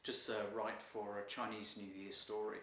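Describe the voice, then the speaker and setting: A man reading a children's story aloud as a storyteller, with dramatic, expressive delivery. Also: lowish voice, moderate pace. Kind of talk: scripted storytelling narration. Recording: roomy echo, distant from mic.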